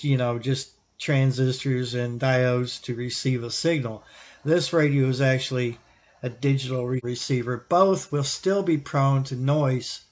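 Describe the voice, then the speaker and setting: A man giving an informal, explanatory product recommendation as a hobbyist reviewer, thinking aloud in halting, broken phrases. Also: medium pitch. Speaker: YouTube radio hobbyist and reviewer.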